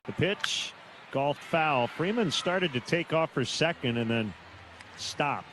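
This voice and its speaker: A man doing live baseball play-by-play on the radio in measured, terse calls, with pauses between them. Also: on the high side, animated, fairly bright tone, slight background noise. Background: outdoor ballpark ambience.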